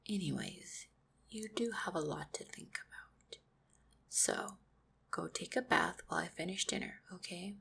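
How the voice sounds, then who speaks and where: A woman voicing an affectionate, supportive orc fiancée in an intimate roleplay audio, speaking tenderly and reassuringly with gentle teasing, often close to a whisper. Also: lowish voice, unhurried, heavily acted. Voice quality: breathy, husky, often near-whispered.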